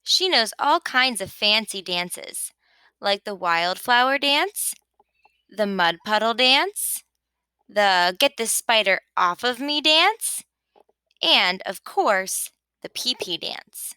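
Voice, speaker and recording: A woman reading a children's picture book aloud with expressive narration. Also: medium pitch, slow, clear, resonant tone, no room sound. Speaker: storybook reader.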